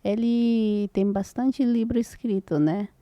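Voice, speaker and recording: A woman's voice: fairly high pitch, steady tone, slow, mellow, no echo. Peruvian woman speaking Portuguese with a Spanish accent. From Peru. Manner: hesitant, searching for words. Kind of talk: casual conversation.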